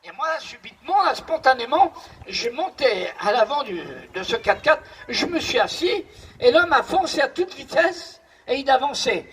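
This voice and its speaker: Male pastor with a notably high voice, preaching with emphatic repetition and storytelling. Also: expressive, moderate pace, fairly bright tone, a little hiss or hum, low-quality recording. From Paris region, France. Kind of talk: preaching with congregation responses.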